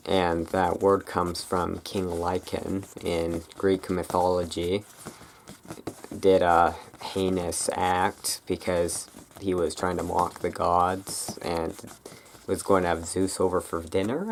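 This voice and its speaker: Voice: sits low, vocal fry. An ASMR host casually rambling through a show-and-tell, tentative and self-correcting, speaking close to a camera's built-in microphone. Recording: noisy camera microphone with clicking sounds.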